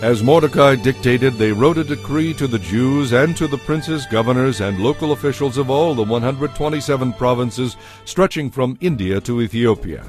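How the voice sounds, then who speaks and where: A man narrating a dramatized audio Bible in a measured, formal storytelling manner. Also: medium pitch.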